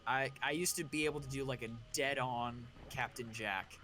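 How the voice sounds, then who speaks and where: A man's voice: mid-range voice, fairly even intonation, normal speed, sharp tone, slight background noise. A young man co-hosting a stream, chatting casually and rambling through stories with restarts. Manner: rambling, self-deprecating, trails off. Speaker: stream co-host. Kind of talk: casual chat.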